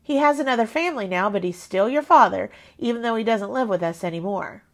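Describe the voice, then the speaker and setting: A woman's voice: fairly high pitch, quick pace, close to an everyday voice. A woman voicing a firm but caring mother in a children's storybook, emphatic and matter-of-fact.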